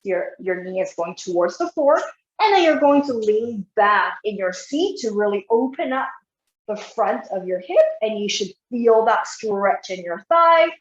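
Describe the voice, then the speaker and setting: A woman physiotherapist leading an online exercise class, guiding step by step in an encouraging way, her voice a bit croaky. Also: mid-range voice, expressive, a little slow, echoey room, muffled recording. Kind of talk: live online exercise instruction.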